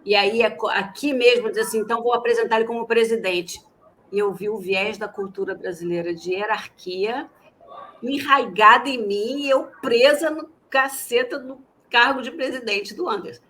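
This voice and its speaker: A woman co-hosting a livestream talk show, chatty and lively. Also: fairly high pitch, normal speed, rough recording. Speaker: co-host.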